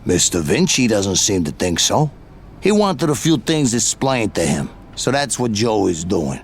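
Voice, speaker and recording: A man's voice: on the low side, big pitch swings, unhurried, a little hiss or hum. A man playing a cocky, taunting mob henchman with streetwise, sarcastic talk in a video game cutscene. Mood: smug threat.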